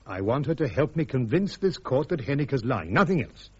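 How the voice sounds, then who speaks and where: Guy acting sharp defiant british army major, english accent, clipped n ironic, dull old radio play sound. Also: medium pitch, very animated, fairly quick, lightly acted. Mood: dry, confiding. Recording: dull, muffled radio drama recording.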